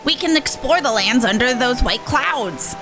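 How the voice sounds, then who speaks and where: Woman doing a high-voiced, earnest young child. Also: animated, measured pace, music in the background, poor audio. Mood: dreamy, hopeful.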